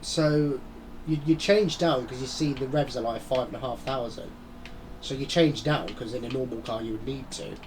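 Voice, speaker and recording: A man's voice: mid-range voice, moderate pace, a little mellow, a little hiss or hum, low-quality recording. Stuffy-nosed man chatting over gameplay. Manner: rambling, chatty, muttering asides. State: stuffy nose from a head cold, mouth-breathing. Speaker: gaming channel host. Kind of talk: casual gameplay commentary.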